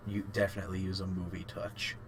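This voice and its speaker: A man's voice: deep voice, flat delivery, fast, slight background noise. A man co-hosting a casual podcast chat about games and films, informal and a little sweary. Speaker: podcast co-host.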